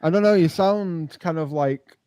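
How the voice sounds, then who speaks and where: A man's voice: on the high side, normal speed, warm tone. A man from Manchester with a northern English accent, chatting casually as a guest on a video call. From Manchester, England. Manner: easygoing, laughs along. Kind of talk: casual chat.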